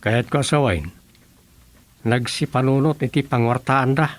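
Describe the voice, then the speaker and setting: A man's voice: mid-range voice, normal speed, close to an everyday voice. A man acting as a practical, scheming townsman in an Ilocano radio comedy drama, with an Ilocano accent. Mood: eager, persuasive.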